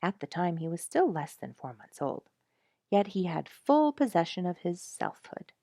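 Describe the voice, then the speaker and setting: A woman narrates a storybook with a fairly low voice and very animated intonation that rises and falls widely. Her reading is expressive and scripted, recorded close in a dry space. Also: normal speed, gravelly, dry recording. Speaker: storyteller reading a book aloud.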